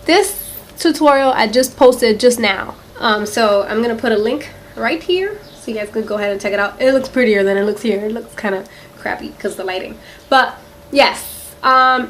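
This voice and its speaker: A woman's voice: on the high side, a bit bright, a little hiss or hum. A young woman with a Philadelphia accent, chatting casually to camera in a rambling way, with lots of "um" and "like". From Philadelphia, USA. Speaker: beauty vlogger. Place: quiet room in a house.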